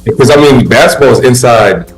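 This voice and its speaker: A man's voice: mid-range voice, fairly quick, faint music behind, poor audio. A man in his thirties, born in Haiti and raised in the US, chatting casually as a basketball player guest in an easygoing, rambling way with many fillers. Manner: relaxed, rambling, laid-back with many fillers. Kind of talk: casual interview chat.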